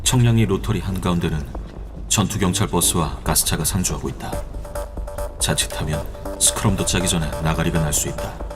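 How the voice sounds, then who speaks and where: A man's voice: deep voice, brisk, natural voice. A man playing a young male student protest leader, wary and hesitant, stammering around old friends, in a radio drama. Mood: brooding. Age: young adult. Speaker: student activist. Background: background music.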